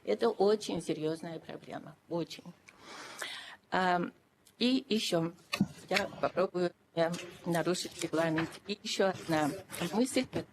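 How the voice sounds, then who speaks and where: Emphatic woman with a lowish voice. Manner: emphatic, deliberate, repeats key phrases. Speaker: education expert addressing a council. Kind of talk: formal remarks at an official meeting. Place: official videoconference meeting. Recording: noisy videoconference audio.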